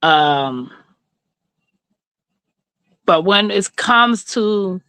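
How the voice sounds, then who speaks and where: Unhurried bright-voiced Southern woman. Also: medium pitch, lively intonation, smooth, dry recording. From Mississippi, rural southern United States. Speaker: livestream host. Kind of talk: casual livestream chat answering viewers.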